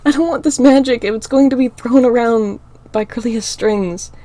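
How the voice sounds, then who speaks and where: A woman's voice: on the high side, moderate pace, dark tone, pitched above the performer's own voice, lightly acted. Woman voicing a sobbing young demon girl. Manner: pleading, voice breaking. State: crying.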